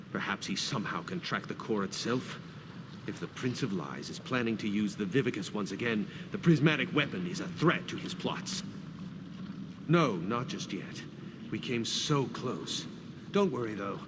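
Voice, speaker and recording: Earnest, solemn warrior guildsman, animated pitch, fantasy game, over clear background noise. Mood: shaken, then determined. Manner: solemn, dramatic, emphatic. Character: earnest, devout warrior guildsman, shaken but resolute. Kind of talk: fantasy video game acting.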